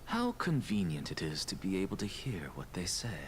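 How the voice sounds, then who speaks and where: A man's voice: low-pitched, huge pitch swings, normal speed, slight background noise. A man playing a self-assured, doubting scholar in a mythic video game, with a dry, sardonic and articulate delivery. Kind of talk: scripted video game dialogue. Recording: game music behind the voice.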